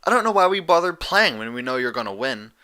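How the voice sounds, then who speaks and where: A man's voice: mid-range voice, sing-song, quick pace, bright, forceful tone, smooth, voice pushed up. A man playing a brash, cocky young female pegasus pony in a story reading, with blunt, slangy bluster. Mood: grumbling.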